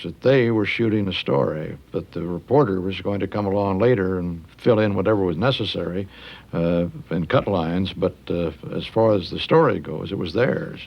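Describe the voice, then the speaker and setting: An older man giving reflective commentary in a documentary interview, measured and halting, leaning on key words. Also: low-pitched, vocal fry, highs cut off.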